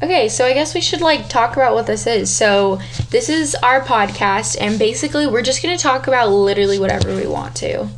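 A woman's voice: fairly high pitch, fairly quick, a little hiss or hum. A young woman co-hosting a casual podcast as the older sister, chatty and rambling, laughing often. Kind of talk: casual chat.

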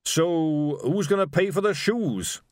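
A man's voice: on the high side, takes it slowly, dry recording, clean studio sound, pitched above the performer's own voice. Man voicing a puzzled, rambling shoemaker. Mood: puzzled, literal. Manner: plain, rambling, doubtful. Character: puzzled, literal-minded working-class shoemaker.

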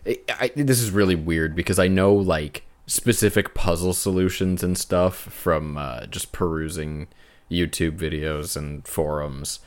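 A man speaking as a podcast co-host in casual, unscripted talk about games, feeling his way through sentences with halting phrasing. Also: low-pitched. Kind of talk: casual unscripted video game discussion.

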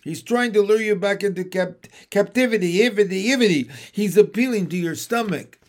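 A man's voice: high-pitched, normal speed, darkish tone, pitched above the performer's own voice, natural voice. A man voicing a frantic, bossy storybook goose who repeats words insistently in honking cries. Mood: alarmed, insistent. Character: frantic bossy goose, urgently cheering and warning. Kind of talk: animated children's storybook reading.

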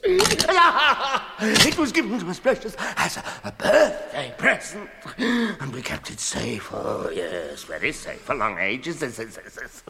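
An actor playing a wretched, slimy creature in a radio drama, croaking and whining as he mutters and wheedles to himself. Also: a little high, sing-song, takes it slowly, background noise, full character voice. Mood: whimpering, wheedling. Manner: muttering to himself, repeating words, wheedling tag questions.

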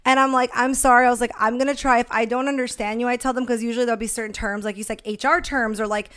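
Higher-voiced young woman chatting candidly. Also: fairly even intonation, fast, fairly bright tone, smooth. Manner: candid, slangy, casually sweary. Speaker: podcast co-host. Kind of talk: casual podcast chat.

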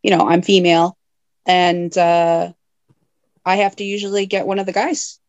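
A young woman talks in a casual interview, recorded dry and close with no room sound. She is friendly and laughs easily, filling pauses with ums and uhs. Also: on the low side, level tone, dry recording, highs cut off. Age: young adult. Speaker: haunted house owner.

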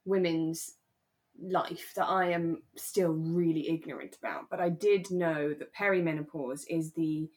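Youngish british woman, lowish voice, chatty podcast cohost, kinda hedges and rephrases stuff. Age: young adult. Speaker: podcast co-host. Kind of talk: relaxed casual discussion.

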